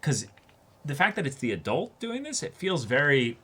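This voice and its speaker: A man's voice: a little high, very animated, moderate pace, bright, forceful tone, breathy edge. A man chatting casually and unscripted as co-host of an anime reaction discussion. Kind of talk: casual chat, unscripted commentary.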